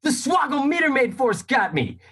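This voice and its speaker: An actor playing a hungover, cranky young float racer with a husky voice and exasperated, whiny delivery in a comic radio drama. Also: sits high, very animated, normal speed, a bit bright, heavily acted. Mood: panicked.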